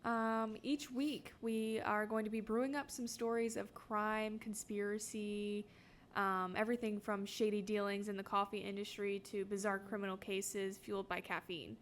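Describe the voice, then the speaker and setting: A woman's voice: mid-range voice, flat delivery, normal speed, slight background noise. A young woman co-hosting a podcast, giving an upbeat, dramatic intro and chatting casually. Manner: upbeat, dramatic show-opening delivery. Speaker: podcast co-host. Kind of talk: casual chat with scripted intro.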